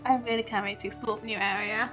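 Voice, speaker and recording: A woman's voice: mid-range voice, fairly even intonation, quick pace. A woman doing casual livestream commentary on a video game, chatty and giggly. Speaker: game streamer. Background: game music.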